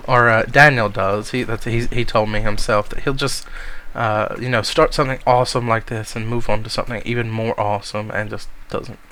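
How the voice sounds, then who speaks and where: A man with a cold giving a casual, rambling tour of a game world, speaking softly to spare his voice. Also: fairly low pitch, fairly even intonation, moderate pace, slight background noise. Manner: rambling, many ums, soft-spoken to spare his voice. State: has a cold. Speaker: gamer giving a server tour.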